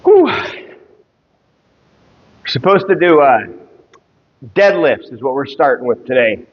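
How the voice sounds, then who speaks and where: A man's voice: medium pitch, animated, husky. Congested man chatting on a livestream. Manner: rambling, halting, with many fillers. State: congested, stuffed up. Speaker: fitness streamer. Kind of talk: casual livestream chat. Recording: muffled sound with long reverb.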